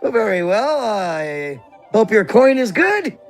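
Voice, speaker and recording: A man's voice: high voice, sing-song, takes it slowly, full character voice. A man voicing a stout, chatty, welcoming female bartender in a fantasy tabletop game. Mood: welcoming, curious. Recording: music behind the voice.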